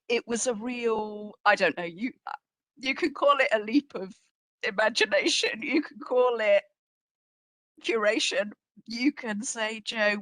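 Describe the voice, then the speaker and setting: A woman speaking informally as a guest curator answering questions, with an animated, self-deprecating, emphatic manner. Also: medium pitch, no echo, rough recording.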